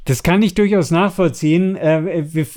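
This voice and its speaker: A man's voice: on the high side, darkish tone. A man co-hosting a podcast, chatting casually and giving tips, often stumbling over the starts of his words. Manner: halting, stammering over word starts. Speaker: podcast co-host.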